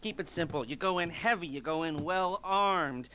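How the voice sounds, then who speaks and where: Nervy, high-pitched male heist planner. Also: moderate pace, sharp tone, a little hiss or hum. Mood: nervous, rambling. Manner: rambling, hesitant, dry wisecracks. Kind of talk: video game mission briefing.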